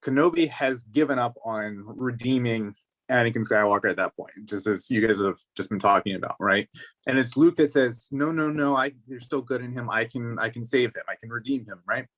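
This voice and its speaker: A man's voice: mid-range voice, brisk, a bit bright, rough recording. A man explaining his points as a panelist in a casual, analytical discussion, often checking that others follow. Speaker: podcast panelist.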